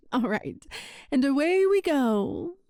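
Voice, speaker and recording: A high, mellow-voiced yandere witch, acted by a woman in an intimate ASMR roleplay. She is sweet, coy and possessive, treating sinister ideas as breezy small talk. The sound is very dry and close. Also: big pitch swings, slow pace, dry recording, exaggerated voice. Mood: playful, teasing. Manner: cutesy, coy, breezily matter-of-fact about sinister things. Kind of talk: ASMR roleplay acting addressed to the listener.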